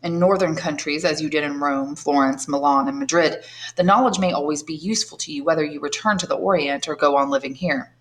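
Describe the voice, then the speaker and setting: Calm noble count, low measured voice, a woman reading his lines, audiobook. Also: close to an everyday voice. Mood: earnest. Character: calm, noble older man, tender and commanding.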